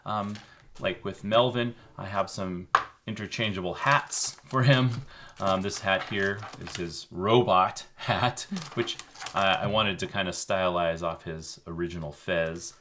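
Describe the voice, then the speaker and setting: A man co-hosting a children's show, explaining a craft casually in an informal, halting way. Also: low-pitched. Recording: faint background noise.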